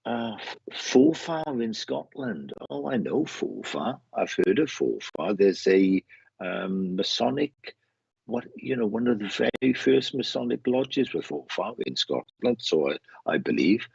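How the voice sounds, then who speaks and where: Husky Welsh man, low-pitched and chatty. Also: animated, measured pace, a little mellow. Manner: chatty, rambling, repeats phrases. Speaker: psychic medium hosting a live online show. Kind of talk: casual live chat, greeting viewers. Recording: muffled roomy online stream audio.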